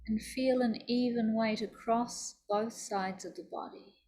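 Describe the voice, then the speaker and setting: A woman teaching yoga nidra, leading a guided meditation in a soothing, deliberate, instructive manner. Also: fairly high pitch, steady tone, warm tone.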